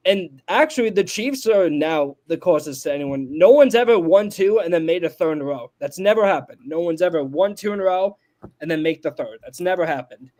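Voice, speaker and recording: A man's voice: fairly high pitch, lively intonation, fairly quick, darkish tone. A man in his twenties on a sports podcast, making his points emphatically and repeating them for stress. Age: 20s. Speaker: podcast panelist. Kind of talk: casual sports debate.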